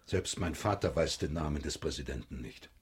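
An actor playing a stiff, troubled master of the house in a German radio drama, husky, formal and subdued. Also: male voice, extremely low pitch, moderate pace, full character voice. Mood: formal, restrained.

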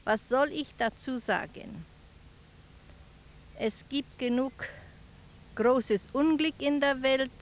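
A woman's voice: high voice, slow pace, pauses often. A woman making reflective remarks.

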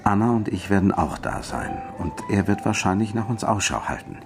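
An actor playing a secretive, commanding client who gives deliberate, clipped instructions in a noir radio play. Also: male voice, lowish voice, expressive, background noise. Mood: deliberate, instructing. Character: male client briefing a detective, secretive and commanding.

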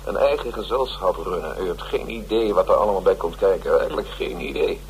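An actor playing a pompous, self-pitying older theatre director in a radio drama, speechifying. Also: male voice, low-pitched, animated, brisk, a little hiss or hum, low-quality recording.

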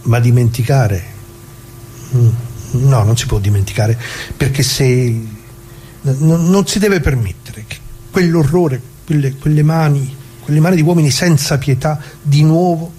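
An actor playing an anguished, plain-spoken ordinary man who muses haltingly and repeats himself in a theatrical radio monologue. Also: mid-range voice, mellow, slight background noise, close to an everyday voice. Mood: pained, reflective. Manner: halting, musing, rhetorical questions, repeats himself.

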